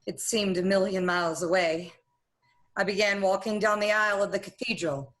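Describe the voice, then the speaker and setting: A woman's voice: medium pitch, fairly even intonation, normal speed, fairly bright tone, natural voice. A woman playing a secretive studio employee in a 1940s radio noir, narrating in a confessional way. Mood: tense, confiding. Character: scheming young female studio employee, secretly complicit in murder.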